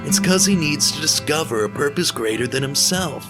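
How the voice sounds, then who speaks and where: An actor playing a friendly, talkative young bartender with a laid-back manner, in an audio drama with music underneath. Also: male voice, a little high, animated, moderate pace. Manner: laid-back, talkative, says bro a lot. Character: friendly chatty male bartender who calls everyone bro.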